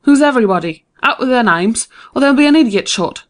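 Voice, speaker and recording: A fussy, hot-tempered older aunt, put on by a male storyteller, pitched far up with a voice that leaps and swoops. She rattles off her folksy scolding quickly and sharply, in a storybook reading. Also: expressive. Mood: indignant.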